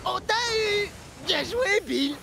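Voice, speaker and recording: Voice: very high-pitched, expressive, slow pace, gravelly, pushed and bright, a faint crowd, a little hiss or hum, heavily acted. An actor voicing mischievous, boyish twin tank engines in a French cartoon dub, teasing and boastful. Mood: boastful, mocking. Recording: music behind the voice.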